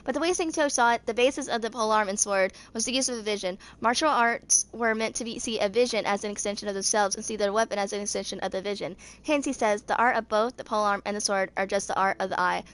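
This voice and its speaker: A woman's voice: mid-range voice, quick pace, hardly any pauses. A woman in her twenties reading story lore aloud in an amateur narration, stumbling now and then over words. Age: 20s. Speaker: video creator reading game character lore.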